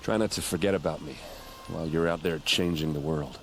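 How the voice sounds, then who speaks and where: A man's voice: extremely low pitch, a little fast, soft background music. A man voicing a brash but sincere, self-deprecating warrior captain in a video game, talking in a casual, blunt, joking way. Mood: teasing, fond. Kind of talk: dramatic cutscene dialogue.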